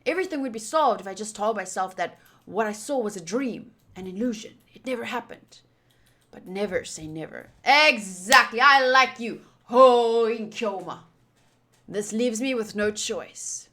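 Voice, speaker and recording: A woman streamer reading game text aloud and reacting out loud with exclamations as she comments. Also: fairly high pitch, lively intonation, moderate pace. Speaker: visual novel playthrough streamer.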